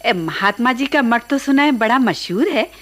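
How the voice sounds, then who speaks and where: An actress in a radio drama playing a practical, gossipy middle-aged wife with a chatty, coaxing manner. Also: female voice, a little high, animated, moderate pace, fairly bright tone. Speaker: radio actor.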